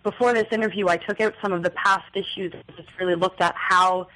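A woman's voice: fairly low pitch, fairly even intonation, brisk, bright, forceful tone, poor audio. A young woman from New Brunswick, a magazine editor, answering questions thoughtfully in a radio studio interview, with many fillers and restarts. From New Brunswick, Canada. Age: young adult. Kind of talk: radio interview, reading aloud.

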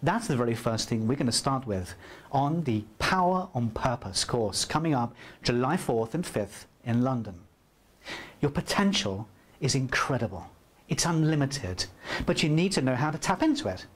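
A man in his forties giving an upbeat, persuasive promotional pitch to camera in an indoor room, on a lapel mic. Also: expressive, normal speed. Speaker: course presenter. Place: indoor room by a flip chart.

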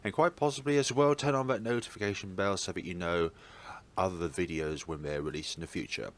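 A man's voice: deep voice, a little fast. A man hosting a let's play, reading a visual novel aloud theatrically and adding wry, playful asides. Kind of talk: playful gaming commentary and expressive read-aloud of a visual novel.

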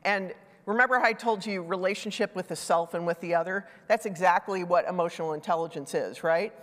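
A woman's voice: lowish voice, fairly quick, fairly bright tone. Middle-aged lady giving a talk on a big stage, chatty, bit stuffy from a cold, hall echo. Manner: conversational, self-deprecating asides. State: congested from a sinus infection. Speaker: conference speaker. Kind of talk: conference talk. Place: large dark stage hall. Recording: reverberant conference hall.

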